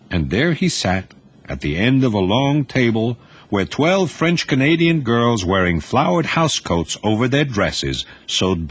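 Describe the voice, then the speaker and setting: A man narrates a novel in a deep, husky voice. His pitch rises and falls freely as he tells the story, giving it a lively, animated flow. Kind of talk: scripted audiobook narration.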